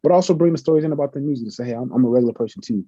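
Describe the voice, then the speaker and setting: Middle-aged man, professor guest; fast, husky, very dark tone, muffled lo-fi sound. Earnest, reflective. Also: medium pitch, no echo. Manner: earnest, reflective, uses many fillers. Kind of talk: conversational interview answers. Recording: muffled lo-fi audio.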